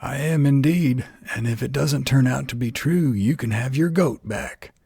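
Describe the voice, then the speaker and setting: A man's voice: mid-range voice, moderate pace, gravelly, warm tone, husky, no room sound, studio quality, pitched above the performer's own voice. A man voicing a funny little old trader from a bedtime storybook, chirpy and persuasive. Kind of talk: storybook character in bedtime audio.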